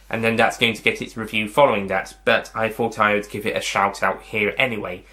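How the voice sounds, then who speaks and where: A man's voice: lowish voice, bright, forceful tone. A man hosting a casual video review, talking to viewers in a rambling, hesitant way and often rewording himself. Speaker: host of a video review channel.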